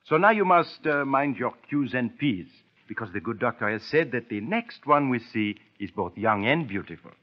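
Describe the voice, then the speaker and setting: Guy doing a polite nosy belgian detective w french accent, super animated, formal but halting, old muffled radio play. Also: mid-range voice, natural voice. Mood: wry. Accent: French-speaking Belgian. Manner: halting, formal, questioning with small interjections. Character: courteous, probing foreign male detective. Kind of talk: radio drama acting. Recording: dull old radio recording.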